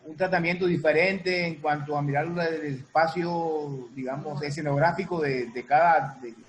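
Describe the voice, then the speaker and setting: Male guest producer, slightly high voice, halting casual explanations, faint background noise. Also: fairly even intonation, rough recording. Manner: halting, repeats and reformulates. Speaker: guest, music producer and sound designer.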